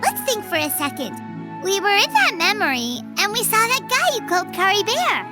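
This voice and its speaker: A woman voicing a small, chirpy, childlike female fairy companion in a video game, squeaky and perky. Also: huge pitch swings, moderate pace, exaggerated voice. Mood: puzzled. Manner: refers to self in third person, perky. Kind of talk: video game character acting. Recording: music playing behind the voice.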